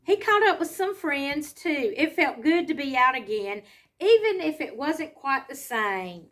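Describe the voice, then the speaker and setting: A woman reads a storybook aloud to children, pausing to explain simply and ask them questions. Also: sits high, very animated, a bit bright. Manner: simple explanatory asides and questions to young listeners.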